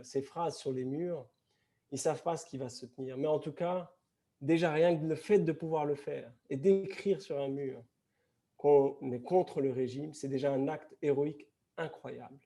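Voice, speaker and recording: Gravelly, dark-voiced man in a film debate. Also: a little high. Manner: halting, many restarts and fillers. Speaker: festival panelist. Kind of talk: post-screening discussion, reflective.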